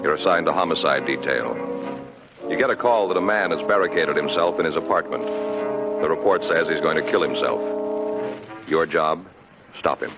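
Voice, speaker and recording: A man's voice: extremely low pitch, normal speed, pauses often, over loud music. A man with an American accent announcing formally and emphatically in 1950s radio style. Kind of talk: 1950s scripted radio narration and advertising.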